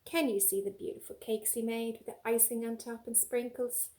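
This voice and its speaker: A woman reading a story aloud as a preschool teacher, with coaxing, animated asides and questions for young children. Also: fairly high pitch, normal speed.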